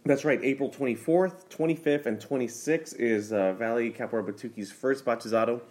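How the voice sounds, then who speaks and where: A man co-hosting a casual podcast, relaxed and trailing off into a low murmur. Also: fairly quick. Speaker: podcast co-host. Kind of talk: casual chat.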